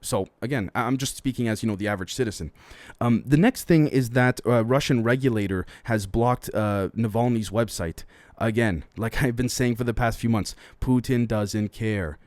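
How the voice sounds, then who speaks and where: A mid-pitched man talks his way through the day's headlines in a loose, conversational style, weighing in with his own opinions and speculation. He keeps turning to address his listeners directly. The recording is close and dry, with little room sound. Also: normal speed, a little mellow, no room sound. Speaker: podcast host. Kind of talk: solo news commentary podcast.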